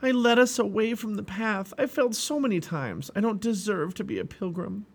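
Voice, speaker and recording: A man's voice: high voice, normal speed, husky, voice pushed up. A man voicing an earnest young boy pilgrim in a storybook reading. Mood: remorseful.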